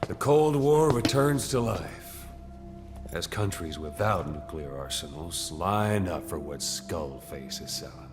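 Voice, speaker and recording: A man playing a stern, menacing military commander with a terse, deliberate delivery in cinematic game dialogue. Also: low-pitched, lively intonation, music in the background. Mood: cold, menacing.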